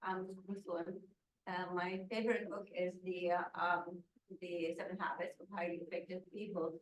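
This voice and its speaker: A woman's voice: fairly low pitch, flat delivery, moderate pace. A woman from Syria on a real estate panel, speaking hesitantly with many restarts and fillers. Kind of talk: panel introduction. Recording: echoey room, distant mic, faint noise.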